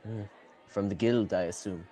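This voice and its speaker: Teen actor as a protective fantasy tavern keeper. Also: male voice, fairly low pitch, fairly even intonation, normal speed, background noise, lightly acted. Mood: welcoming. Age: teens. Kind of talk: student radio theatre acting.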